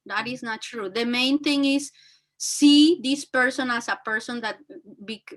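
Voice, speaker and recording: A woman with a very high, bright and forceful voice talks earnestly, her full of fillers and restarts. She speaks as a teacher and guest, giving motivational advice. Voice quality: very high-pitched voice. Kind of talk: motivational talk.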